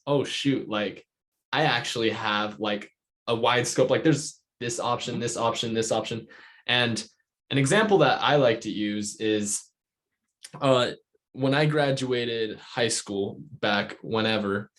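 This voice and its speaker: Casual man hosting, mid-range voice. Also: medium pitch. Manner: informal, halting, peppered with filler "like". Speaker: podcast host. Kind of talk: casual chat.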